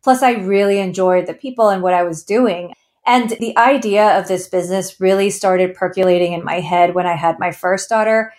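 A woman's voice: medium pitch, normal speed, a little mellow. A woman in her thirties, originally from Ecuador, telling her founder story earnestly in an interview and laughing now and then. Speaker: founder guest. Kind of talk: interview conversation.

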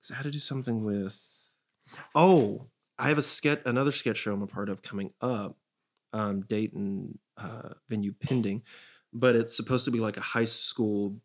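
Low husky male voice, podcast guest, informal, halting, casual chat. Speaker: guest sketch writer.

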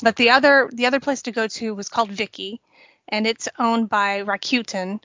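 Higher-voiced woman explaining on a podcast. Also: moderate pace, no echo. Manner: explanatory, steady, with small laughs. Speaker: podcast co-host. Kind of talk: casual chat. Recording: dull, lo-fi dry sound.

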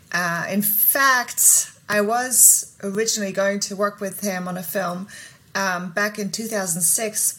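A woman's voice: medium pitch, sharp tone. A woman who grew up in Germany, chatting as an interview guest in a friendly way with small laughs. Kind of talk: casual interview chat.

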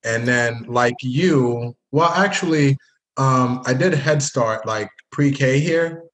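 A man's voice: mid-range voice, slow pace, a bit bright, low-quality recording. A man hosting a podcast, chatting casually and teasing his old college friend. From Haitian family, grew up partly in Canada. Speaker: podcast host. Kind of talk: casual interview chat.

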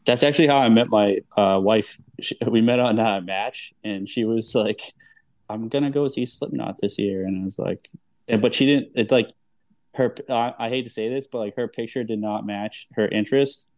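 A man's voice: fairly low pitch, moderate pace, darkish tone, no room sound. A man in his thirties hosting a podcast in a casual, chatty style. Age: 30s. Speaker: podcast host.

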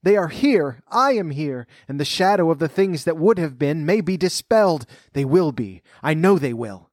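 A man's voice: high voice, animated, normal speed, no room sound, studio quality, pitched above the performer's own voice. A man playing an overjoyed old miser in a storybook reading, exclaiming breathlessly with childlike exuberance. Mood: elated.

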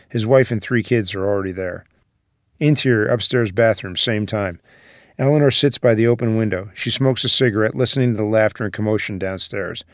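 A man's voice: on the low side, no echo, clean studio sound. A man narrating the stage directions of a screenplay at a table read.

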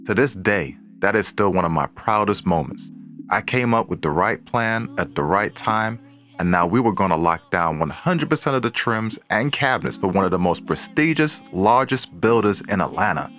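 Casting: male actor for young newlywed husband in audio fiction, casual street-smart slang, very animated intonation. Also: on the low side, normal speed, faint music behind. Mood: proud, reflective. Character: hardworking, exasperated young newlywed husband, Gaza. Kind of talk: audio drama acting with first-person storytelling.

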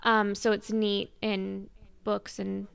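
Clear-voiced woman with a creaky edge. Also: mid-range voice, completely flat delivery, slow, fairly bright tone, dry recording. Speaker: podcast co-host. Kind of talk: casual fan discussion.

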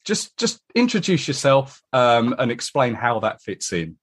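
A man hosting a podcast, greeting his guest and asking friendly, lively questions. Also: mid-range voice, measured pace, slightly rough. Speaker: podcast host. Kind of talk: conversational podcast interview.

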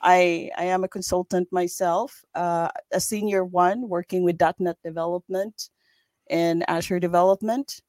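Filipina woman, low voice, dry sound. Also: fairly even intonation, normal speed, darkish tone, dry recording. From Philippines. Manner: earnest, reflective, laughs lightly between thoughts. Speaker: podcast guest, developer. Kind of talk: interview answers.

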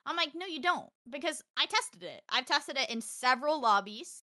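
A young woman from Texas chatting on a podcast, loud and animated, telling stories fast with a close mic. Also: sits high, no echo. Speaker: podcast guest, streamer. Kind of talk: casual podcast chat.

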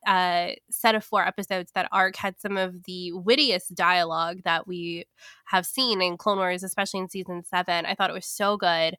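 Woman co-host, congested nasal voice, bright and chatty, close dry mic. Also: mid-range voice, clean tone, no room sound. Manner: chatty, laughs often. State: stuffy, congested from a sinus infection. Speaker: podcast co-host. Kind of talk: casual fan podcast chat.

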